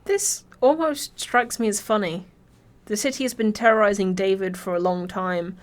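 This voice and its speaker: An English woman narrates a scripted video essay at a middling pitch. Her delivery is wry and informal, with casual swearing mixed into considered prose. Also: moderate pace. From Birmingham, England.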